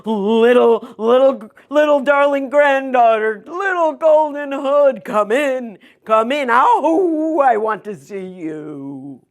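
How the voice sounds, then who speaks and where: A woman's voice: sits high, animated, slow, hardly any pauses, voice pushed up. A woman acts out a wolf disguised as a poorly old granny in a fairy tale. The voice swoops about, stammering and coaxing, broken up by exaggerated sneezes. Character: wolf posing as a sick, sneezing old grandmother.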